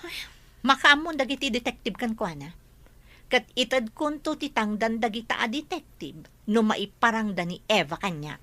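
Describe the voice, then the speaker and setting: High forceful female voice, acted imperious rich villainess, scolding, sharp-tongued, animated, audio drama. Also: moderate pace, dry recording. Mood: scheming, disdainful. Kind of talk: Ilocano audio drama acting.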